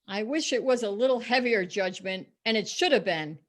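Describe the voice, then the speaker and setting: A woman's voice: medium pitch, animated, moderate pace, close to an everyday voice. A woman playing a bold, defiant Victorian charwoman in a dramatic story reading, speaking with scornful emphasis. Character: bold, defiant, unrepentant Victorian charwoman selling stolen goods.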